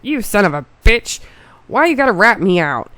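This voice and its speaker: A woman's voice: mid-range voice, sing-song, normal speed, no echo. A congested woman voicing a brash, hot-headed young male swordsman who hurls insults. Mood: outraged. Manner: shouting insults.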